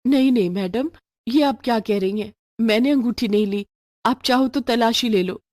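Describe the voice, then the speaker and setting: A woman's voice: a little high, normal speed, a little mellow, dry recording, lightly acted. A woman acts out a poor working mother from a storybook tale. She speaks humbly and hesitantly, pleading with deference, in a dry, close sound. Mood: anxious pleading. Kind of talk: moral storybook voice acting.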